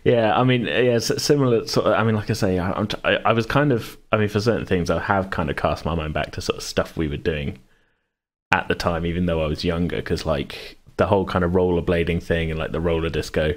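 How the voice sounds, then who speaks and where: Brit guy in his 30s, low voice, game master chatting casually, goes round the houses a bit. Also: brisk. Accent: British. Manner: rambling, hedging, self-interrupting. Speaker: podcast host and game master. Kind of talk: casual chat.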